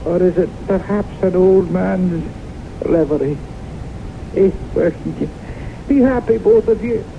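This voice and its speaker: An actor playing a kindly, doddering old gentleman in a radio drama, with a creaky, wavering high voice. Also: slow, exaggerated voice. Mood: benevolent, chuckling. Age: older. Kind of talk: 1970s radio drama acting. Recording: tinny, hissy old radio broadcast.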